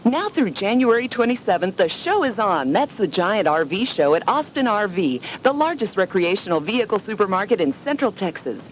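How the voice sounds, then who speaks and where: A faintly noisy old radio recording features a commercial announcer. The read is scripted and promotional, with animated swings in pitch. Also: brisk, few pauses.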